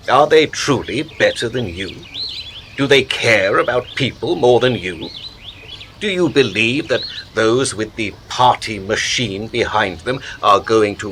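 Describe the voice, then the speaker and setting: An actor in a radio play, playing a smooth political patron who speaks in measured, coaxing rhetoric and turns grandly philosophical. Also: male voice, lowish voice, a bit bright, slight background noise. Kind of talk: radio drama acting.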